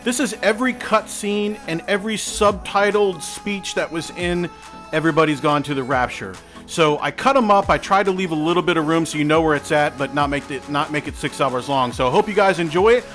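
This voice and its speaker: A man's voice: fairly high pitch, a little fast, fairly bright tone, faint music behind. A man giving casual gameplay commentary as the host of the video.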